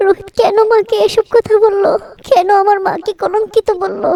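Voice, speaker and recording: A woman's voice: very high voice, fairly even intonation, moderate pace, gravelly, warm tone, distant mic. A woman voicing an anxious, devout young wife in a melodramatic cartoon, pleading and questioning herself. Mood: worried, determined. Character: anxious, devout young wife fretting over her husband.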